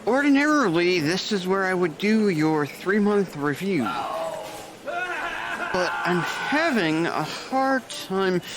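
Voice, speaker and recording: A man plays a weary nurse supervisor with dark, dry humor and an unhurried calm. His voice rises and falls freely, a bit higher than most men. A steady noise hum sits behind him. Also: exaggerated voice. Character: jaded male nurse supervisor, darkly wry. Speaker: charge nurse. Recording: noisy background.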